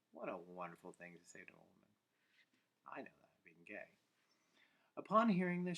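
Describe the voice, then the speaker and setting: A man reading a bedtime story aloud, breaking off for playful, teasing asides to his listeners. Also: mid-range voice.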